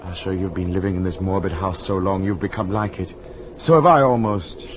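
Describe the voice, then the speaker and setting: An actor playing an earnest, uneasy gentleman friend who narrates a gothic horror tale, grave and theatrical in 1940s radio style. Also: deep voice, fairly quick, slight background noise, natural voice. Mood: anxious soothing. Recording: muffled 1940s radio recording with music.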